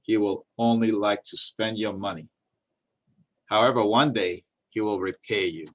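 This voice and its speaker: A man voicing a solemn river spirit in a folktale, measured and prophetic. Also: on the low side, fairly even intonation, a little hiss or hum, pitched below the performer's own voice, natural voice. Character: solemn river spirit foretelling a child's fate.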